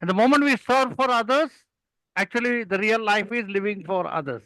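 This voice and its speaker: A man's voice: high-pitched, a little fast, a bit bright, no echo, poor audio. An older man from Kerala with a South Indian accent and Malayalam as his first language, telling stories and giving earnest, emphatic advice in conversation. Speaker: guest, experienced club speaker.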